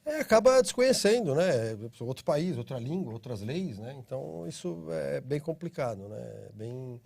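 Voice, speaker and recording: A middle-aged Brazilian man answering interview questions at length, patiently laying out a process point by point. His voice is mellow and soft-edged, with a slightly husky grain, and he keeps checking in with short tag questions. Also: medium pitch, moderate pace. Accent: Brazilian Portuguese. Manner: steady explaining, frequent tag questions. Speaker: interview guest, citizenship consultancy CEO.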